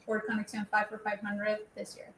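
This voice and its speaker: A woman's voice: mid-range voice, completely flat delivery, a little fast, fairly bright tone, reverberant, a little hiss or hum. A woman from Ecuador introducing herself as a business council director, friendly with some hesitations. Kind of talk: casual interview.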